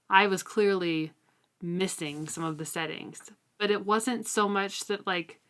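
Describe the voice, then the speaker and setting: Female co-host, mid-range voice, for informal book talk; frank opinions softened with apologies. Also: mid-range voice. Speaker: co-host of a book discussion podcast; middle school writing teacher. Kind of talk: casual book discussion.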